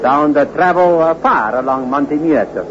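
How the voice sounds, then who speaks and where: Sly Mexican mountain guide played by a man, animated and higher-voiced, ingratiating broken English, muffled noisy vintage radio drama. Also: normal speed, close to an everyday voice. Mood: ominous. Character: sly Mexican village guide, falsely simple and friendly. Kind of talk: 1960s radio suspense acting.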